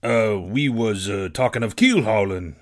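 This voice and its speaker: Man doing a meek, low-voiced old sailor. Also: measured pace, lightly acted. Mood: sheepish. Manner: hesitant, meek. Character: sheepish, slow-witted old grey-haired sailor.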